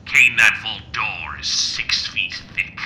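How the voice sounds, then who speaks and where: An actor playing a grim, relentless crime-fighting vigilante in an old-time radio drama, eerie, deliberate and theatrical. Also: male voice, fairly low pitch, animated, bright, forceful tone, a little hiss or hum, full character voice. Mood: ominous, doom-laden.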